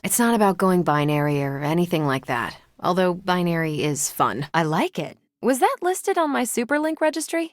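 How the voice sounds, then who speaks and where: An actress plays a confident female superhero for a video game. Her voice sits a little low, with a breezy, conversational delivery. She is friendly and teasing with a dry wit, in a very dry studio sound. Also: no room sound, natural voice. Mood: relaxed, wry. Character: confident, wry, friendly half-alien superhero woman soldier.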